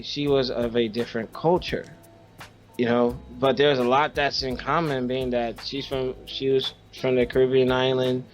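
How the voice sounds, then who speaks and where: A man co-hosting a podcast, telling personal stories casually, stopping and repeating words as he makes his point. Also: mid-range voice. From Haiti. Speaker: podcast co-host.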